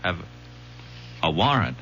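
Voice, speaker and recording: An actor playing a sly, nosy police detective in a radio drama, rambling and hesitating with false casualness. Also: male voice, low voice, very animated, takes it slowly, sharp tone, muffled recording, full character voice. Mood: folksy, probing. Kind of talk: 1970s radio drama acting. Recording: noisy, humming old radio recording.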